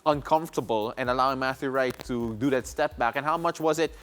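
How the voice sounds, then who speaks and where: A man's voice: mid-range voice, fairly quick, fairly bright tone. A man, hosting a basketball analysis segment in a conversational style in a TV studio. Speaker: sports show host.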